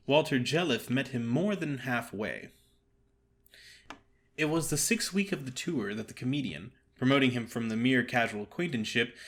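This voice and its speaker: Expressive man narrating a classic story. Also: medium pitch. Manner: expressive, formal storytelling delivery. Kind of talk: theatrical scripted narration.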